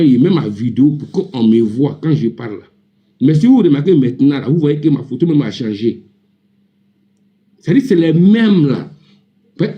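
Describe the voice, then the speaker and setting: A man's voice: on the high side, highs cut off. A man with an Ivorian accent speaking indignantly and emphatically in a heated, informal live political debate, often repeating himself. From Ivory Coast. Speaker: panel debate participant.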